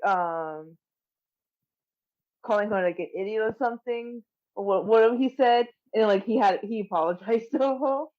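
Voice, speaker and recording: A woman's voice: medium pitch, normal speed. A woman co-hosting a podcast in casual film chat, heard through a dull, lo-fi microphone. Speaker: podcast co-host.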